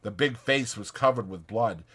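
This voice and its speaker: A man's voice: lowish voice, normal speed, bright, forceful tone, breathy edge. A man narrating a novel as a dramatized audiobook.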